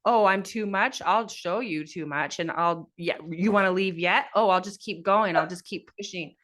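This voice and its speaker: A woman with a slightly low-pitched voice co-hosts a casual podcast. She talks emphatically and tells things in an animated, conversational way. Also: moderate pace. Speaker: podcast co-host. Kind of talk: casual chat.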